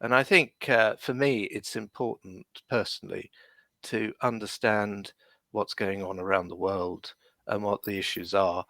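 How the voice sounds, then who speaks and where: A man's voice: fairly low pitch, fairly even intonation, a little slow, creaky, pushed and bright, no room sound. A man, a lawyer and podcast guest, speaking on a video call from London in a reflective, hesitant way with many fillers. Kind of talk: reflective interview answers.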